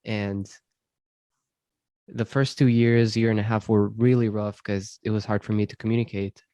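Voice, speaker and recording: Calm low-voiced man, dry mellow tone. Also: no room sound. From Syria. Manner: thoughtful, measured, with frequent ums. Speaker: podcast host being interviewed. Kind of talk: reflective conversational interview.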